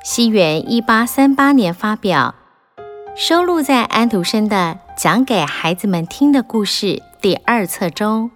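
A woman narrating a children's audiobook in a gentle, expressive storytelling manner. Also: a little high.

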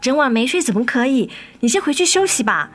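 Casting: caring mother, played by a woman, fairly high voice, soothing and affectionate, radio play over soft music. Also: very animated, a little fast, full character voice. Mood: concerned. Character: gentle, weary, loving middle-aged mother. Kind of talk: radio drama acting. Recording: music under the voice.

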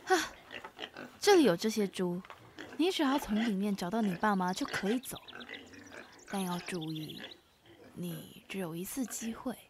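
A woman's voice: mid-range voice, animated, normal speed, pauses often, background noise, lightly acted. A woman voicing a haughty, menacing old witch in an audio drama.

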